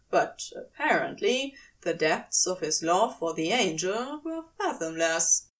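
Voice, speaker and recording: A woman reading a story aloud as expressive scripted narration, dramatic and deliberate with pauses for emphasis. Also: medium pitch, far from the mic. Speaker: fan fiction audiobook reader.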